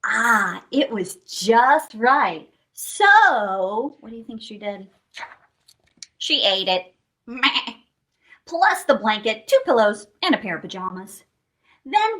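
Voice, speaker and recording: A woman's voice: fairly high pitch, slow. A woman hosting children's storytime, reading expressively and playfully, putting questions to young listeners. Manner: expressive, playful, asks listeners questions and adds sound effects. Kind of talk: animated picture-book read-aloud for young children.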